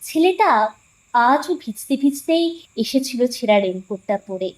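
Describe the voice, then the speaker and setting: Female narrator for a suspense audio story, high-pitched, very lively intonation, scripted delivery. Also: a little slow, low-quality recording.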